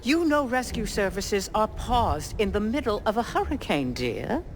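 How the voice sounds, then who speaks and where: An actress playing an imperious, genteel elderly grandmother in a video game, with an American Southern accent and a haughty, measured, commanding delivery. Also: mid-range voice, sing-song, slight background noise. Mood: dismissive, composed. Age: older. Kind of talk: video game cutscene acting.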